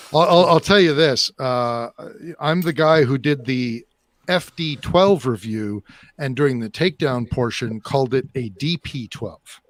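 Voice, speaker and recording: A man's voice: mid-range voice, measured pace. A man co-hosting a casual podcast over a video call, rambling, swearing freely and poking fun at himself. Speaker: podcast co-host.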